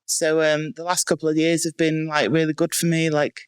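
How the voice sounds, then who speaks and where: A Welsh woman in her twenties, a strength athlete, talking as a podcast guest in a relaxed, matter-of-fact way with many ums and likes. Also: low-pitched, a little fast, clear, resonant tone, no room sound. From North Wales. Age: 20s. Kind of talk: casual podcast interview. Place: small dry room in a house.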